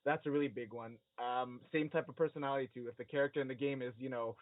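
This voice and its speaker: A man's voice: medium pitch, steady tone, no echo. Hesitant man, thin phone-like audio. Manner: hesitant, halting. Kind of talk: casual podcast discussion. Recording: narrow phone-like band, dry.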